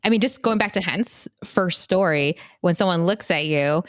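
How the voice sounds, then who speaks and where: A young woman co-hosting a family podcast, her voice sitting very low for a woman. She rambles through her thoughts with plenty of fillers and false starts, close to the mic in a dry, dead room. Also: moderate pace, dry recording. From United States. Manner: rambling, many "like" fillers and restarts. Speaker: podcast co-host. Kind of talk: casual chat among siblings.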